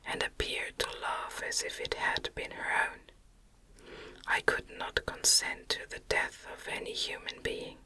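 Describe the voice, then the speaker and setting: Slow, bright whispering woman narrator. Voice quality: breathy, unvoiced whisper. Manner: gentle, soothing, deliberate. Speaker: audiobook reader. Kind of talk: ASMR whispered reading of a gothic novel.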